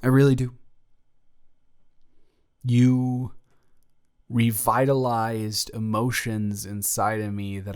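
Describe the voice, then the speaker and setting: Man playing a slow, halting lovestruck college guy. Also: on the low side. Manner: halting, stammering, earnest, wordy. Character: lovestruck, nervous young male college dormmate, earnest and adoring. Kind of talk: intimate romantic roleplay audio drama.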